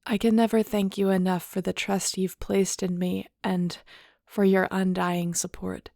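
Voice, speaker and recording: A woman's voice: medium pitch, flat delivery, a little slow, vocal fry, darkish tone, dry recording. Woman acting as a nervous young shifter Alpha, close-up. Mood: solemn, heartfelt. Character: nervous young female werewolf shifter becoming clan Alpha, affectionate, teasing. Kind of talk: intimate audio roleplay acting.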